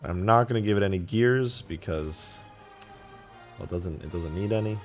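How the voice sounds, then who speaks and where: A man live-streaming while he mods a game, thinking aloud, reading chat and laughing loudly at times, his voice going a bit hoarse. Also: on the low side, normal speed, soft background music. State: losing his voice, slightly hoarse. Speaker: modding streamer. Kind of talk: casual live-stream commentary.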